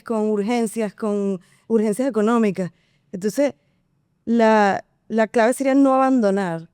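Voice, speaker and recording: A woman's voice: on the high side, clear, resonant tone, dry recording, clean studio sound. A woman from Venezuela, a singer and actress, chatting casually as a guest and laughing often. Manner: lively, laughs often, playful. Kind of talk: casual interview chat.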